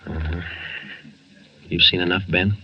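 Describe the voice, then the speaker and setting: An American actor playing a terse, dogged police sergeant who narrates his case in a clipped, deadpan way, in 1940s radio drama style. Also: low-pitched, unhurried. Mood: terse, matter-of-fact. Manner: clipped, deadpan, matter-of-fact. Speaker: narrator and lead detective. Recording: dull old radio recording with music.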